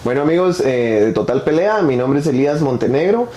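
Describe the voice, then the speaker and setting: A man's voice: fairly high pitch, animated, brisk, warm tone. A man in his forties with a Guatemalan accent, chatting casually as a podcast guest and often adding tag questions. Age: 40s. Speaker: podcast guest, MMA social media creator. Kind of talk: casual interview conversation.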